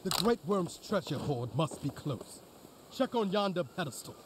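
A man's voice: a little high, very animated, normal speed, noisy background. An actor playing a geeky man who role-plays a pompous fantasy adventurer in theatrical mock-archaic speech for a video game. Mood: grandiose.

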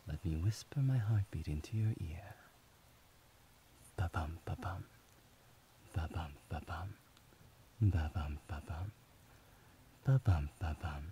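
A tender, devoted young servant, played by a man in an ASMR roleplay, murmuring in a very deep, mellow voice. He drifts into soft whispers and speaks at an unhurried, lingering pace, soothing and affectionate throughout. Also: gravelly, slight background noise, exaggerated voice.

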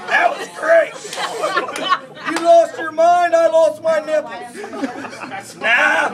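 A middle-aged man giving a rowdy comic wedding toast from cards, shouting and laughing through it, recorded on a distant phone in a crowded party room. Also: very high-pitched, slow pace. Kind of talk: comic roast-style toast read from cards. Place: indoor party venue, crowded. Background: party crowd chatter and laughter.

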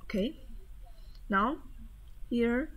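A woman talking through a step-by-step software tutorial over a screen recording, in a plain instructional manner. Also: fairly high pitch, lively intonation, extremely slow, husky.

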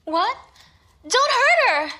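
Woman acting a very high-pitched earnest young maiden. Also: big pitch swings, slow pace, sharp tone, exaggerated voice. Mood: alarmed. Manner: exclamatory, earnest. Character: earnest, sweet young swordsmith daughter. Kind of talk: audio drama acting.

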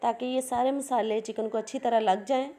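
Cooking host, woman, Pakistani Urdu accent, patient and plain, warm tone. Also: a little high, steady tone, moderate pace. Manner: patient, explains each step plainly. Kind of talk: step-by-step cooking instructions.

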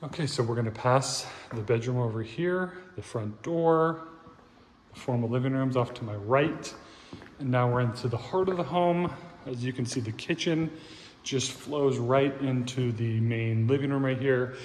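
A man's voice: medium pitch, normal speed. A man casually narrating a walkthrough of a house for sale, upbeat and pointing out features as he walks. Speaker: real estate agent giving a house tour. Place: large empty house, indoors. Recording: echoey empty rooms, faint room noise.

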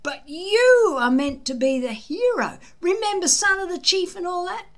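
A woman voicing an excitable, anxious and loyal young Viking boy in a storybook, with earnest emphasis. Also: extremely high pitch, normal speed, pitched above the performer's own voice. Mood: earnest, encouraging.

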